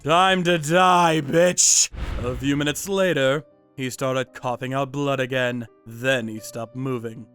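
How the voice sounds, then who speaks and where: Man acting a cold vigilante, bright forceful voice. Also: medium pitch, steady tone, slow pace, clear, resonant tone, faint music behind. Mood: menacing. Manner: menacing, mocking, contemptuous. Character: cold vengeful vigilante torturer, narrating his own revenge jobs. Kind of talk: first-person manga dub narration with acted dialogue.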